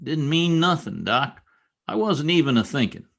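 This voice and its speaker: An actor playing a simple, fretful, loyal frontier sidekick in an old-time Western radio play, talking in a folksy, rustic way. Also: male voice, fairly high pitch, expressive, normal speed, creaky, a bit bright, heavily acted. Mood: worried, folksy. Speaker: actor in an amateur radio drama.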